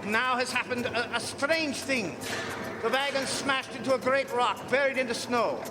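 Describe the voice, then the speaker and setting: Man playing a very high-voiced, commanding vampire hunter. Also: normal speed, heavy background noise. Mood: tense, grave. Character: grave, commanding elderly foreign professor and vampire hunter. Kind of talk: live radio drama acting, dramatic narration. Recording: live stage in reverberant hall, loud music.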